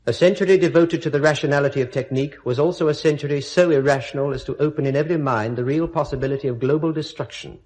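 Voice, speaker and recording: A man performing as the narrator of a radio space drama, measured, literary and portentous. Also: mid-range voice, darkish tone, close to an everyday voice, low-quality recording.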